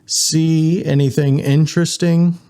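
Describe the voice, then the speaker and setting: A man's voice: on the high side, little pitch movement, takes it slowly, dark tone, clear, resonant tone, voice pushed up. Casting: man to voice a pint-sized, officious male otter policeman, big attitude, audiobook sci-fi. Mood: exasperated. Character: short, larger-than-life male otter security cop, stern.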